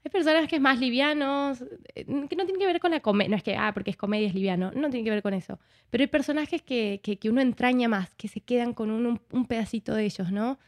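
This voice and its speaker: A woman speaking as a guest in a reflective interview, expressive and conversational, often voicing bits of dialogue as she talks. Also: on the high side, fairly quick. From Argentina.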